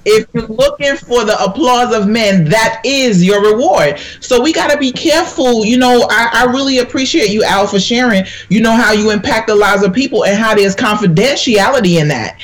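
Earnest preaching woman, high voice. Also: moderate pace, few pauses. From Jamaica. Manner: earnest, sermon-like, quotes scripture. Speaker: minister, guest. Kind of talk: conversational panel talk with preaching.

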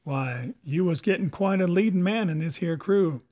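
A man's voice: high-pitched, moderate pace, darkish tone, pitched above the performer's own voice, close to an everyday voice. A man voicing a cunning, defiant pirate captain in a storybook, with sarcastic questions and rustic sailor's talk. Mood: mocking, sly. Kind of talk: dramatic audiobook character voice.

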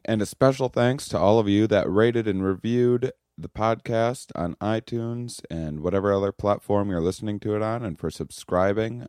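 A podcast host, a man with a deep, low voice that carries well. He talks loosely and casually, full of "um"s and restarts. The sound is dry and close to the mic. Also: dry recording. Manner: relaxed, rambling, many fillers and restarts. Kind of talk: casual conversational interview.

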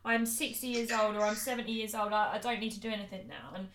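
A woman's voice: medium pitch, steady tone, quick pace, a bit bright. A British woman chatting casually and earnestly about her work as a fitness coach on a podcast. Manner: earnest, chatty, informal phrasing. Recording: roomy, echoey room sound.

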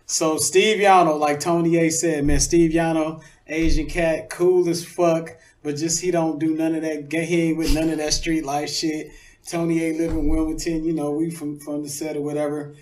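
A man's voice: fairly high pitch, flat delivery, moderate pace, a little mellow, distant mic. A man, a rapper telling stories casually in slangy, profanity-laced street talk. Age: 30s. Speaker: rapper, interview guest. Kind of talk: casual chat, storytelling.

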